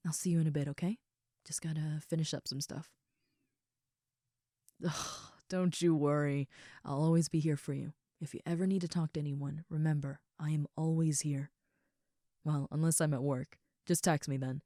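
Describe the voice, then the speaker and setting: Affectionate young female ogre roommate played by a woman for comfort roleplay audio: very low-pitched, relaxed, soft and sincere, close dry sound. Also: normal speed, no echo. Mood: gentle, reassuring. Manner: soft, sincere, rambling, reassuring.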